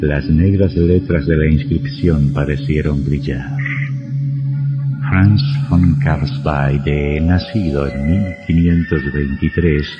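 Sinister male horror host, slow deep macabre narration, dull vintage radio sound. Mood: grim, ominous. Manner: slow, ominous, theatrical, with cackling laughs. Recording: dull old radio recording with music.

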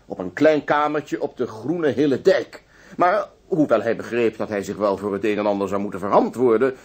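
An animated, formal police inspector. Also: male voice, mid-range voice, husky. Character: composed male police inspector recounting an old case. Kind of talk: radio play acting, storytelling.

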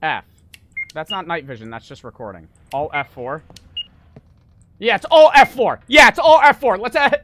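A young man streaming a horror game, panicking, swearing and yelling in fright while reading notes aloud. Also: sits high, expressive, normal speed, long pauses, a little hiss or hum. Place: small room at home. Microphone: desk mic on stand.

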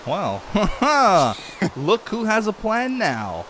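Man, excitable, playful, casual gameplay chat, very lively pitch, light background noise. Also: extremely slow. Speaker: gamer playing with friends.

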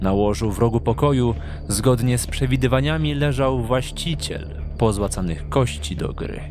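A man narrating a scripted audio drama with measured storytelling delivery. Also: mid-range voice.

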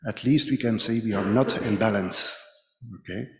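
A middle-aged man with a Belgian accent giving a conference presentation in halting English. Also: on the low side, a little mellow. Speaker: conference speaker, company manager. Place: large ornate hall, indoors. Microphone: podium microphone. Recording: echoey hall with long reverb.

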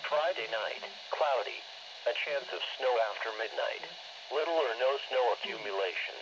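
A computerized male announcer reads forecasts formally and evenly measured, at a medium pitch. The voice comes out of a small weather radio speaker. Clear noise runs through the recording. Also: animated, lo-fi sound. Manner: measured, formal, synthetic delivery. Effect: synthetic text-to-speech voice played through a small radio speaker. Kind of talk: scripted weather forecast reading. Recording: noisy camera capture of radio speaker. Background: clear background noise.

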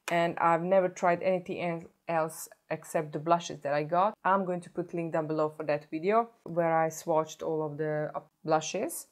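A woman in her thirties with a sore throat, chatting through a makeup tutorial and stopping often to correct herself. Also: low voice. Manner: chatty, self-correcting, stops to show products. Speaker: beauty vlogger. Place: indoor home filming set.

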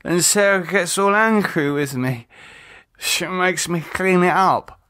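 A male narrator performs a drunken, troubled man in a horror audiobook. The words come slurred and halting, rambling in circles, in a raised, distraught voice. The sound is close and dry. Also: high-pitched, pushed and bright, no echo, voice pushed up, heavily acted. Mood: drunk, confused. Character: drunken, confused, distraught man, guilt-ridden and tearful.

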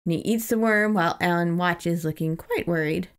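A woman's voice: fairly low pitch, moderate pace, smooth. Amused woman co-hosting a book podcast. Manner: amused, enthusiastic. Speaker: podcast co-host. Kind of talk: casual book discussion with read-aloud passages.

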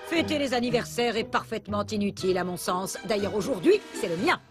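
A woman voicing a stern, haughty middle-aged neighbour in an animated series, pompous and curt. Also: mid-range voice, fairly quick, music in the background, lightly acted. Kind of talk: French animated series dubbing.

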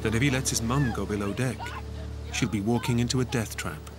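A man's voice: mid-range voice, brisk. A man delivering dramatic scripted narration as the narrator of a survival documentary. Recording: loud dramatic music underneath. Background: loud music.

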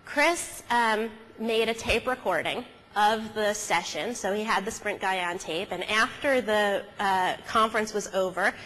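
A woman's voice: medium pitch, measured pace, few pauses, a bit bright. Woman giving a keynote in a reverberant hall. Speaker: conference keynote speaker. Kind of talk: conference talk, conversational storytelling. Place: large auditorium stage, at a podium. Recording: hall reverb from stage sound system.

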